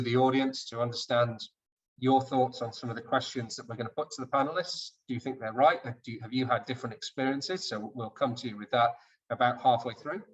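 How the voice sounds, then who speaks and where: A man moderating an online webinar, speaking in a measured, polite way with small restarts. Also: medium pitch. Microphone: video call. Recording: dull lo-fi call audio.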